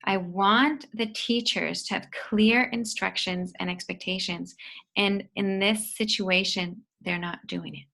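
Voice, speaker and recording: A woman guiding a self-inquiry session, asking questions slowly, gently and deliberately. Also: mid-range voice, fairly even intonation, creaky, rough recording. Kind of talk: slow guided questioning.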